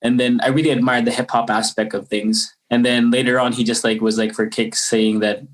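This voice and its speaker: A man's voice: medium pitch, level tone, fairly quick, recorded from a distance. A man in his twenties from the Philippines, a rapper talking as an interview guest, rambling with many fillers and restarts. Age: 20s. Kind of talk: casual interview.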